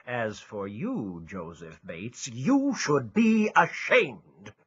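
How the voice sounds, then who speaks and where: A man's voice: medium pitch, very animated, extremely slow, slightly rough, dull audio, full character voice. A man playing a stern, pompous, sarcastic schoolmaster from olden times in an audio drama. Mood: scolding. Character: stern, scolding old-time schoolmaster.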